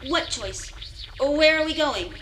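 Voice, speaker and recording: A woman's voice: sits high, animated, takes it slowly, a bit bright, faint music behind, heavily acted. A woman playing an earnest young newcomer to a spaceship crew in an audio drama, inquisitive and caring. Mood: concerned.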